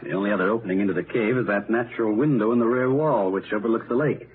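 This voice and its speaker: An actor playing a calm, analytical young American detective in a 1930s radio mystery, speaking in a deliberate, articulate way. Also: male voice, fairly low pitch, natural voice. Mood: grave, intent. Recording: narrow, noisy 1930s radio broadcast.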